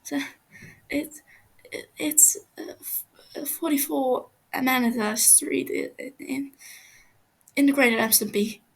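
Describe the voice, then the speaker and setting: A woman voicing a frightened, wounded captive man who stammers and pleads haltingly in an audio story. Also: high voice, breathy edge, pitched above the performer's own voice, exaggerated voice, low-quality recording. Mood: pained, panicked.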